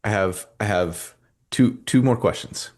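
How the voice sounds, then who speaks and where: A man in his thirties with a very low, soft, soothing voice asks questions slowly and hesitantly. He restarts often and keeps his tone gentle. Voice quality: soft, soothing voice likened to ASMR. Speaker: interviewer and show host. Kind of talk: casual interview chat.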